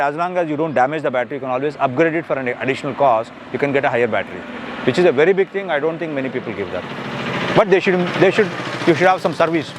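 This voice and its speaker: Indian guy late 50s, talks fast and rambles, repeats himself, bit of street noise behind. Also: fairly high pitch. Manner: rambling, repeats words and phrases for emphasis. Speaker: customer giving a product review. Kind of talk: casual unscripted interview chat.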